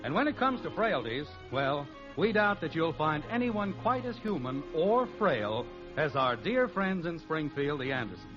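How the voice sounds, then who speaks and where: A man's voice: fairly high pitch, sing-song, moderate pace, muffled recording, over music. A man with an American accent announcing in a scripted 1950s radio style on an old broadcast recording.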